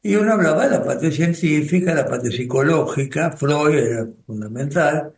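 Older argentine guy on a radio show, thinks out loud, slow w lots of pauses, voice a bit high, echoey room. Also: expressive. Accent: Rioplatense Spanish. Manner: slow, thoughtful, with pauses and restarts. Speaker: spiritual group coordinator and radio guest. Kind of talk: reflective radio conversation.

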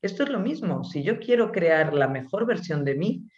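A woman with a Spanish accent, an author answering interview questions slowly and thoughtfully, pausing often. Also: on the low side, sing-song, a little mellow, echoey room, lo-fi sound. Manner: slow, thoughtful, with many pauses and fillers. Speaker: author and guest.

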